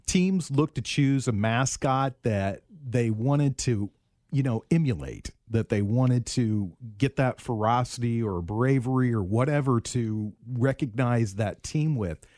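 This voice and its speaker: A man's voice: mid-range voice, expressive, a little slow, a little mellow, no room sound, studio quality. Candid male sports radio host. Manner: candid, conversational, laughs easily. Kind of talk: casual sports talk radio. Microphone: studio microphone.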